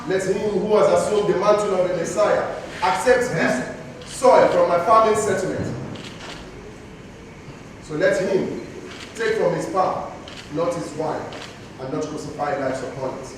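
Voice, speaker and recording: A man plays a defiant, pompous deposed king declaiming on stage in a high voice. He is heard from far off in a very echoey hall. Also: noisy background, close to an everyday voice. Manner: oratorical, pompous. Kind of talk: declamatory theatrical stage monologue. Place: indoor theatre stage.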